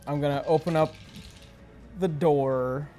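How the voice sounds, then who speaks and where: A man with a somewhat high-pitched voice plays a tabletop role-playing game. He states his actions casually, as among friends. Faint music sits in the background. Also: fairly even intonation, unhurried, mellow.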